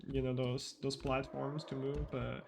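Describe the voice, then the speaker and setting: A man giving relaxed live commentary on his own speedrun, explaining tricks in a casual, rambling way. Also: medium pitch, a little slow, gravelly, a little hiss or hum. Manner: casual, explanatory, frequent fillers and restarts.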